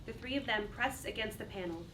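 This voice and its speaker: A woman's voice: a little high, a little fast, bright, forceful tone. A woman reading stage directions as a scripted dramatic narrator. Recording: distant mic, faint background noise.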